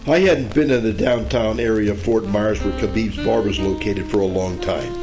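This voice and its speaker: A man playing a world-weary, wisecracking private detective in a deadpan old-time radio noir comedy. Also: on the low side, moderate pace, music in the background, close to an everyday voice. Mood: wry narrating. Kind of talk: first-person noir radio narration and comedy acting.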